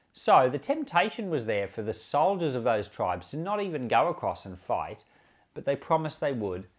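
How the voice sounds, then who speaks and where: Man, pastor, animated intonation, earnest and conversational, scripture reading and commentary, repeats for emphasis. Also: medium pitch. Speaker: pastor and podcast host.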